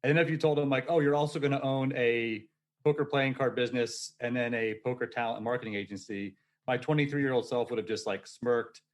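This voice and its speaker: A forty-year-old man speaking as a podcast guest. He talks in an energetic, casual storytelling way and laughs often as he tells his story. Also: mid-range voice, brisk. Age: 40s.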